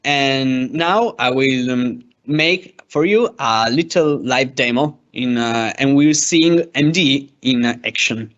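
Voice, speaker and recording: A man narrating a step-by-step software demo as a tutorial presenter, with a hesitant, halting delivery. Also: mid-range voice. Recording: dull, lo-fi microphone sound.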